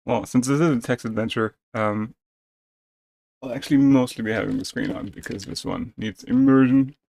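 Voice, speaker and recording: A man's voice: medium pitch, moderate pace, vocal fry, a little mellow. A man chatting casually as a game streamer, talking to his viewers. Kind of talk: casual live stream chat.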